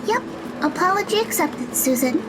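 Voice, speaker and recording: A woman as a very high-voiced optimistic teenage girl. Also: expressive, normal speed, background noise. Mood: reassuring. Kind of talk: audio drama acting.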